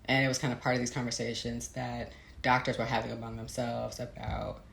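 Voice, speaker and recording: Low-creaking American woman in a roomy space. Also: level tone, brisk. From Midwestern United States. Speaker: author being interviewed. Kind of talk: conversational explanation. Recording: roomy echo, faint background noise.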